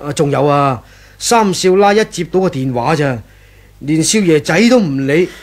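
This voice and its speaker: Actor as timid servile manservant, highish voice. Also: male voice, lively intonation, moderate pace, natural voice. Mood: servile, nervous. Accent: Hong Kong Cantonese. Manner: hesitant, obsequious, chuckling. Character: timid, servile, gossipy male household servant. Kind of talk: 1980s radio drama acting.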